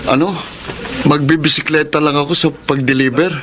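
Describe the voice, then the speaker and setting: A high-voiced, sickly older brother acted by a man in a radio drama. He sounds meek and hesitant, complaining as he makes excuses, with faint noise behind him. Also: steady tone, normal speed, a bit bright, close to an everyday voice. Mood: reluctant, excuse-making.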